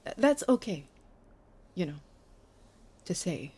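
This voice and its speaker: An actress playing a concerned lover in an audio drama, speaking in a natural, conversational way that gently probes and needles. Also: female voice, lowish voice, big pitch swings, slow pace, slightly rough, exaggerated voice. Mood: hurt, pressing. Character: concerned, probing female lover of a withdrawn musician.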